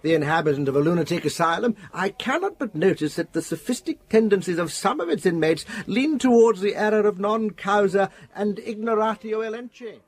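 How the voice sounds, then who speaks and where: An actor playing an eerily courteous, learned asylum patient who speaks formally and pedantically in an audio drama. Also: male voice, high voice, expressive, fairly quick, hardly any pauses. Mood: lofty, lecturing. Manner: formal, pedantic, precise diction. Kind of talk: British radio drama acting.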